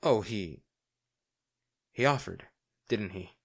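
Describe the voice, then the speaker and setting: A man playing a devoted, remorseful bodyguard to a noble lady in a fantasy audio drama, speaking in a formal, halting, earnest way. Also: fairly low pitch, expressive, creaky, full character voice. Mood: earnest, softened.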